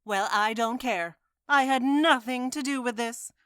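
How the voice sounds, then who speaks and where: A woman's voice: on the high side, unhurried, fairly bright tone, clear, resonant tone, no echo, clean studio sound. An actress playing a brash, defiant woman suspect in a radio-style mystery, blunt and wisecracking. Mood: defiant, sarcastic. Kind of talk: theatrical radio-mystery acting.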